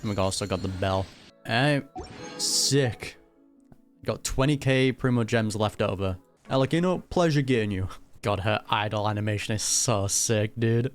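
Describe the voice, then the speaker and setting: A man's voice: lowish voice, moderate pace. A man giving casual, slangy live commentary as a gaming streamer, with wry asides. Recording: game music behind the commentary.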